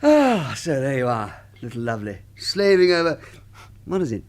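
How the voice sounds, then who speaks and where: An actor playing a jovial, flippant British husband in a radio drama, with breezy, jokey, old-fashioned turns of phrase. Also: male voice, medium pitch, very animated, moderate pace, a little mellow, slight background noise. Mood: cheery. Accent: British English. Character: jovial, flippant middle-class British husband, joking electronics man.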